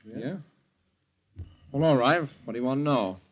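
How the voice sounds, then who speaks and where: A man's voice: mid-range voice, moderate pace, lightly acted. Man playing a jumpy, defensive young man, old radio drama. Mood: nervous probing. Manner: curt questions, stammering, defensive. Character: anxious, defensive young bank teller, curt and evasive. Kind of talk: 1940s radio acting. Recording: dull, lo-fi 1940s radio recording.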